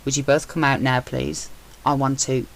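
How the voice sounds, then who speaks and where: A woman reading a story aloud and voicing an anxious young man, talking quietly and under strain. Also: very deep voice, level tone, normal speed, gravelly. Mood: forced calm.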